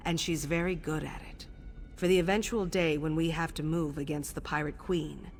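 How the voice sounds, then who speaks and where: Low-voiced, composed assassin superior. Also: female voice, fairly even intonation. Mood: dry, informative. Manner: measured, dryly wry, commanding. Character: composed sardonic female assassin guild superior briefing an initiate. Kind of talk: scripted video game quest dialogue.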